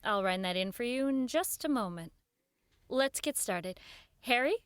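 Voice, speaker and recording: A woman playing an uneasy radio producer who recalls eerie events in measured, dramatic audio drama narration. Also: a little high, animated, fairly bright tone, smooth, no echo, clean studio sound. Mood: brisk, businesslike. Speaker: narrator and radio show director. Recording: violin music behind the voice.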